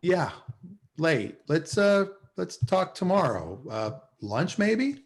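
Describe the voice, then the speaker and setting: A man's voice: high-pitched, sing-song, takes it slowly, creaky, exaggerated voice. A man playing an awkward, lovelorn car salesman in a naturalistic sitcom table read. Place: indoors, on a video call.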